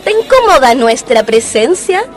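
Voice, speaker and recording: A woman's voice: high-pitched, big pitch swings, normal speed, some chatter behind. A woman playing a young woman who schemes a prank and taunts a jilting suitor, in a radio drama. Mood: taunting. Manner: scheming, pointed. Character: young woman plotting revenge, sly and mocking. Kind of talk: community radio drama acting. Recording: radio drama with faint music.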